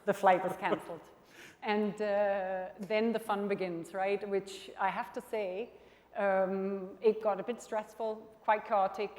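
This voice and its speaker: A middle-aged woman from Denmark, an insurance executive speaking on stage, with thoughtful, slightly halting phrasing. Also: mid-range voice, fairly even intonation, a little mellow. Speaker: panel guest, insurance executive. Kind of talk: onstage conversation. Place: conference stage. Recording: reverberant conference hall.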